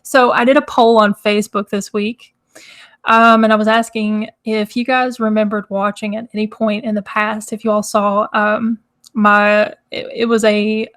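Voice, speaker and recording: A woman hosting a casual live stream, chatty and rambling, with a hoarse, crackly, nasal voice from congestion. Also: medium pitch, flat delivery, normal speed, a bit bright. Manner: chatty, rambling, laughs often. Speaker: host. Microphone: microphone.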